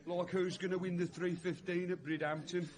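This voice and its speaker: An actor playing a mocking, sarcastic, rough English cafe regular in a vintage radio drama. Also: male voice, high voice, little pitch movement, normal speed, a little mellow, close to an everyday voice. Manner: jeering, sarcastic.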